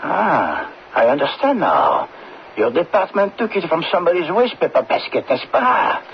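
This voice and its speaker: Imperious French minister, high-pitched, gravelly, impatient, exclamatory, radio drama, dull noisy old recording. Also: male voice, big pitch swings, normal speed. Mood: alarmed. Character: testy, imperious French government minister, man.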